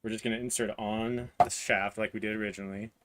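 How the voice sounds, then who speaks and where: A hoarse man in his thirties livestreaming a printer build, talking haltingly as he searches for words and clears his throat. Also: on the low side, level tone, heavy background noise. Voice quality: voice cutting out, clears throat often. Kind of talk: live build stream, casual talk while working.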